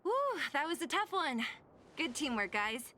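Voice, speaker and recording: A woman's voice: high-pitched, animated, a little slow, pushed and bright, a little hiss or hum, full character voice. An actress voicing a blunt, sarcastic young female fighter in video game dialogue. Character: tough, exasperated young female underworld fighter.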